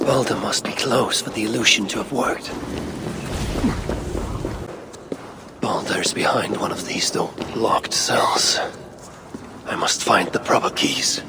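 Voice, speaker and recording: An actor playing a grim Norse god-king, a vengeful grieving father, muttering gravely in a dramatic video game cutscene. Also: mid-range voice, natural voice. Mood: determined. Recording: loud background noise.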